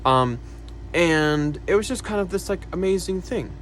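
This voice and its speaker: A young man talking casually to camera about his own life, soft-spoken and hesitant, with many fillers and quiet sighs. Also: high voice, animated, moderate pace, slight background noise. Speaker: vlogger. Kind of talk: casual personal talk to camera.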